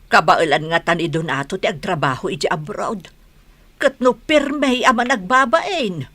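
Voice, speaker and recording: Bright-voiced Ilocano mother. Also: mid-range voice, animated, moderate pace, dry recording. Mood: concerned. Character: middle-aged mother of grown children, anxious, practical. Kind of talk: Ilocano radio drama acting.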